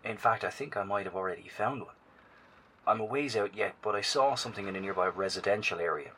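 Bold scout, low-pitched, crisp edge, radio report, horror audio drama. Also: male voice, fast talker, bright, forceful tone. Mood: focused, cautious. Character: determined, risk-taking bunker scout reporting by radio. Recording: noisy radio link with ambience.

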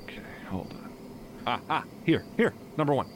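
A man's voice: mid-range voice, expressive, moderate pace, slight background noise, close to an everyday voice. A man acting in an audio drama as a tired, dry, sarcastic friend just off work, speaking naturalistically. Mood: curious.

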